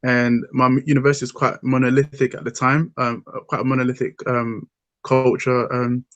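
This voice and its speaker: Host: man, even-toned, thoughtful, lots of ums, dry close sound. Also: medium pitch, fairly quick, no echo, highs cut off. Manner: thoughtful, hesitant with many ums. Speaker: podcast host. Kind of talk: casual discussion.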